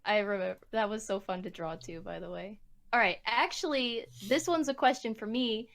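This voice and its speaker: A woman's voice: mid-range voice, moderate pace, vocal fry, fairly bright tone. A woman hosting a casual group Q&A in a giggly, encouraging manner. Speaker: host, comic creator.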